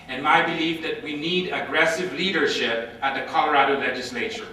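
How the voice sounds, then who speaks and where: A man's voice: fairly high pitch, pushed and bright, a little hiss or hum. A man from the Philippines giving a formal, emphatic campaign speech as a candidate for office. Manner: formal, emphatic oratory with lists. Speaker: political candidate. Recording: echoey amateur recording from far off.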